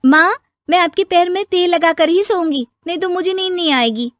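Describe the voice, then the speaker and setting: A young, overworked daughter-in-law played by a woman in a cartoon moral story. Her voice is very high and sounds close and dry. She talks in a chatty, exclaiming, homely way. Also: moderate pace, a bit bright, dry recording. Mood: eager, dutiful. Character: young overworked daughter-in-law, eager then weary and grumbling.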